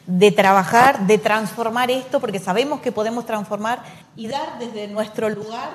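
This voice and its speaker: A woman's voice: on the high side, normal speed. Earnest Argentine woman teacher, studio echo. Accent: Argentine Spanish. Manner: earnest, listing, many fillers. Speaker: teacher of economics, guest. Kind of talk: studio interview answers. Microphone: handheld mic.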